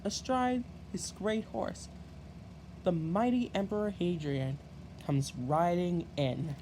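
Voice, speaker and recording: A man reading a children's story aloud in an expressive, deliberate way with halting phrasing. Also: high-pitched, slight background noise, low-quality recording. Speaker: storybook reader.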